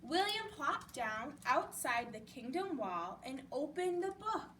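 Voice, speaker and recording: Very animated high-voiced woman reading a storybook. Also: slow pace. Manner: expressive, explanatory to young children. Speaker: library storytime reader. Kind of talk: children's picture-book read-aloud. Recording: distant mic with faint background noise.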